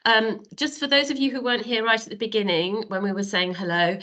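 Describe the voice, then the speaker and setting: A woman's voice: on the high side, fairly even intonation, brisk, bright, forceful tone, muffled recording. A woman with a cold and a nasal voice, giving a professor's presentation on a video call webinar.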